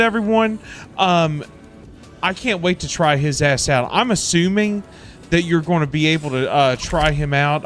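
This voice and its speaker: Male game streamer, slightly high voice, informal and exclamatory, thanks-heavy effusive delivery, light music underneath. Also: a bit bright. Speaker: livestream host. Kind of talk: casual livestream chat and game commentary. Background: background music.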